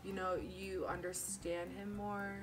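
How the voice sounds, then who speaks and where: A woman in her twenties sharing her story casually as a panel guest, talking in quick animated bursts. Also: lowish voice, far from the mic, background noise. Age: 20s. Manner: animated, fast bursts with 'like' and 'you know'. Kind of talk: casual testimony. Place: small wood-paneled studio room.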